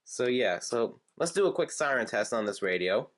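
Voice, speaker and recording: A young man in casual show-and-tell mode, rambling through his gadgets one by one. He halts and restarts often, fills gaps with "uh" and "like," and drifts into offhand asides, all at an ordinary middle pitch. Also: expressive, a little hiss or hum. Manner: rambling, halting, with frequent fillers and restarts. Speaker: channel host showing weather radios.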